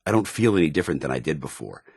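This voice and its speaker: An actor playing an earnest, honour-bound soldier paladin in a video game, with a firm voice and grave, formal, deliberate delivery. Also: male voice, a bass voice, animated, gravelly, darkish tone, slightly rough, no room sound, clean studio sound, exaggerated voice. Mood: troubled. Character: earnest, honour-bound military paladin, a synthetic man troubled by his identity. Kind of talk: dramatic video game dialogue.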